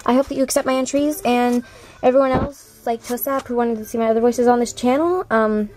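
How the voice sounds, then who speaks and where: A woman's voice: on the high side, steady tone, fairly quick, darkish tone, faint music behind, low-quality recording. A woman chatting casually and apologetically to online viewers as an amateur voice auditioner. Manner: self-deprecating, apologetic.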